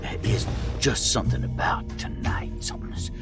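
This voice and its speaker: A man's voice: moderate pace, natural voice. An actor playing a sly, patronizing, theatrical man at an eerie manor in a video game, with a sardonic manner. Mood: secretive. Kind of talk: video game cutscene acting. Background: loud music.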